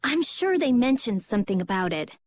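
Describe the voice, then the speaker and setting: An actress playing a sharp-tongued young girl scholar in a video game, insistent, analytical and curt. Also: fairly high pitch, big pitch swings, fairly quick, dry recording. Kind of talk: video game cutscene acting.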